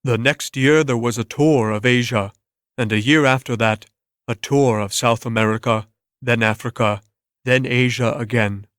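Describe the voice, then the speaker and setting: A man's voice: mid-range voice, fairly even intonation, moderate pace, fairly bright tone, no echo. Male story narrator, dry close sound. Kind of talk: dramatic scripted story narration.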